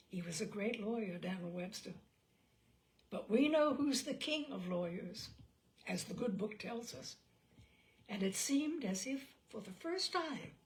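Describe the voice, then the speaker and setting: A woman's voice: mid-range voice, creaky. A woman narrating a literary short story aloud in a dramatic storytelling style.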